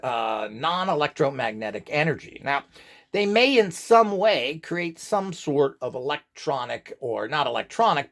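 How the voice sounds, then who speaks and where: A man giving an informal, rambling lecture as an instructor, opinionated and emphatic, with a gravelly voice. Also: medium pitch. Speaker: instructor in radionics.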